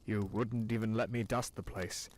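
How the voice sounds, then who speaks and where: A man's voice: lowish voice, steady tone, moderate pace, a little hiss or hum, natural voice. An actor voicing a loyal older male butler who speaks politely and formally, set back from the mic. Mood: apologetic.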